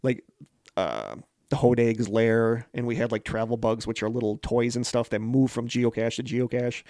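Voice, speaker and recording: A man's voice: medium pitch, normal speed, a little mellow, no room sound. Conversational American man, dry close sound. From Wisconsin, United States. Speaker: podcast co-host. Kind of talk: casual chat mixed with reading prepared notes.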